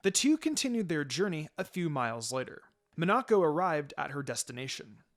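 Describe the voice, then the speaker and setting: A man's voice: fairly high pitch, big pitch swings, fairly quick. A man reading fan fiction aloud as a dramatic story narrator.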